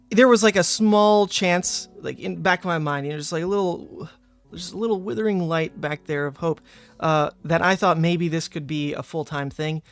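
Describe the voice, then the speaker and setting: A man with a hoarse, partly lost voice, chatting casually with viewers as a card game streamer. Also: fairly high pitch. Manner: rambling and chatty, frequent "uh" and "like". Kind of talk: casual livestream chat.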